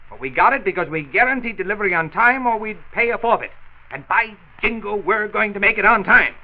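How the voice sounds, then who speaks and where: An actor playing a hot-tempered, driven factory owner in a 1940s radio drama, blustering and emphatic. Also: male voice, high voice, animated, fairly quick. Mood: urgent, insistent. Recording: muffled, noisy 1940s radio transcription.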